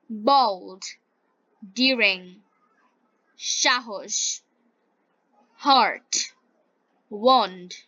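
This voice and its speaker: Very slow, bright Bengali-accented woman. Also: on the high side, no echo, muffled recording. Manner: deliberate, carefully enunciated short phrases. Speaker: English teacher. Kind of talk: slow scripted story reading and vocabulary lesson for learners.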